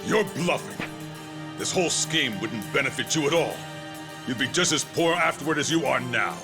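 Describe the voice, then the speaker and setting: An actor playing a curt, imperious middle-aged police commissioner in a video game drama, impatient and full of authority. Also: male voice, mid-range voice, lively intonation, normal speed, music in the background, close to an everyday voice. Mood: alarmed, commanding. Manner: clipped, imperious.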